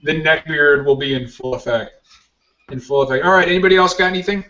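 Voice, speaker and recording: A man's voice: on the high side, quick pace, sharp tone, lo-fi sound. A man hosting a casual podcast, rambling and joking about himself. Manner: rambling, self-mocking, joking. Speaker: podcast host. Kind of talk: casual chat.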